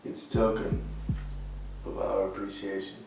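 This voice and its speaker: A man's voice: deep voice, flat delivery, moderate pace, creaky, noisy background. An actor playing a boastful, eccentric homeless man who rambles and hesitates in an audio drama. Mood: agreeable, rambling. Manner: rambling, hesitant, with trailing thoughts. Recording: very echoey room with music underneath.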